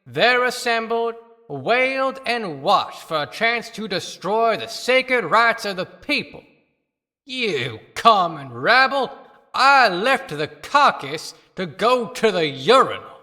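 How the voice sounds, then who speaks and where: Forceful, bitter woman as fallen political leader. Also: medium pitch, exaggerated voice. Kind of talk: theatrical oratory. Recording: long hall reverb.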